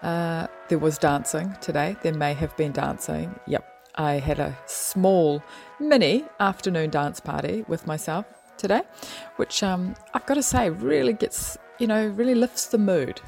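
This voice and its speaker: A New woman with a low voice talks casually about her day, rambling and playful. She laughs easily as she goes along. Soft music sits faintly under her voice. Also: unhurried, vocal fry. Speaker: lockdown diarist. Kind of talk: casual chatty monologue.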